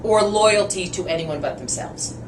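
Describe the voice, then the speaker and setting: A woman's voice: on the low side, normal speed. A woman in her fifties co-hosting a casual chat, teasing her partner and laughing often. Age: 50s. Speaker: show co-host. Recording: roomy distant mic with background noise.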